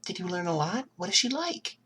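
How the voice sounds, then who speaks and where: A performer voicing a gentle, loving, worried mother with a soft, breathy, fretting manner in an amateur audiobook. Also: mid-range voice, very animated, a little fast, a little hiss or hum, pitched above the performer's own voice. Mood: warm, curious.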